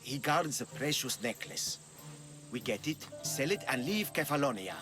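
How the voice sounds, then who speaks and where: An actor playing an eager, scheming merchant in a video game, with a Greek accent and a persuasive manner. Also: male voice, mid-range voice, animated, moderate pace, close to an everyday voice. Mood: scheming, earnest. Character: eager, scheming male vegetable merchant desperate to leave. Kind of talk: video game cutscene acting. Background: music.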